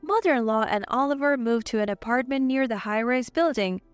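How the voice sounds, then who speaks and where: High-voiced woman narrating a story. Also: fairly quick, clear, resonant tone, dry recording, faint music behind. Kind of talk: scripted first-person narration.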